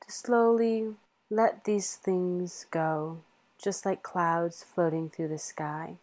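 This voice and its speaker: A woman leading a guided meditation in a soothing, measured manner with long pauses. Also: on the low side, steady tone, darkish tone.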